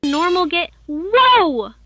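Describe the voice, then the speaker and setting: Woman acting a high-voiced, uneasy young gamer. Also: big pitch swings, drawn-out words, music in the background, exaggerated voice. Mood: startled. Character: young woman on the phone, uneasy horror game player. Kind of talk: analog horror voice acting. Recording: clip with light background noise, clicks.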